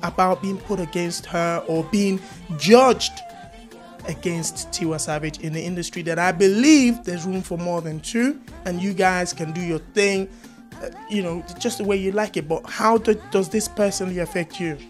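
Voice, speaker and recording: A woman's voice: on the low side, moderate pace, faint music behind. Playful teasing woman interviewer. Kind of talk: casual interview chat.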